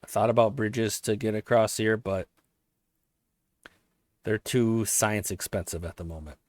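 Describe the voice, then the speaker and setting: Guy talking through his city builder game, bit hoarse, dry close sound, correcting himself a lot. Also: on the low side, a little slow, a little mellow, dry recording. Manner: thinking aloud, self-correcting. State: voice slightly hoarse. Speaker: let's play host. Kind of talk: casual gameplay commentary.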